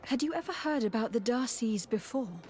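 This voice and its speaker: A woman's voice: on the high side, animated, brisk, warm tone, slight background noise, close to an everyday voice. Woman acting a composed, courteous noblewoman. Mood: gentle, curious. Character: courteous, caring young noblewoman emissary. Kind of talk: video game dialogue acting.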